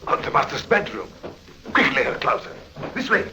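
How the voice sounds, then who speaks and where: An actor playing a dutiful, suspicious servant with a formal, urgent manner, in an old radio drama. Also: male voice, mid-range voice, big pitch swings, normal speed, background noise. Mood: alarmed. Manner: deferential yet urgent, formal. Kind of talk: 1950s radio drama acting. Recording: old 1950s radio recording.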